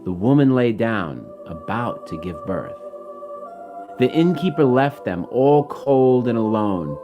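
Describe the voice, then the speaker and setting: A man reading his own rhyming children's story in an expressive, dramatic way. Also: mid-range voice, takes it slowly, highs cut off, music in the background.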